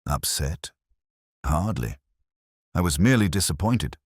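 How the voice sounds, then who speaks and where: A man's voice: low voice, expressive, a little slow, breathy edge, dry recording, studio quality, full character voice. A man voicing a prim, fussy village woman in a mystery story, speaking in a stiff, tight, defensive manner. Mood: defensive, bristling. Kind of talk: audio mystery story dialogue.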